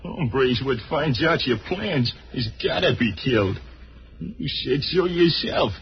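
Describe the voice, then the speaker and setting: A man's voice: mid-range voice, animated, takes it slowly. Bloodthirsty, swooping-voiced outlaw henchman. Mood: bloodthirsty, eager. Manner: drawling rustic Western speech. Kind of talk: 1940s Western radio serial acting. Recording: muffled, noisy old radio recording.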